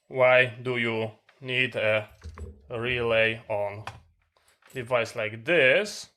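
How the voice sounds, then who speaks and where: A man with a non-native English accent informally demonstrating gear as a tech vlogger, talking in short, halting phrases. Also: mid-range voice, bright, forceful tone. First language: not English.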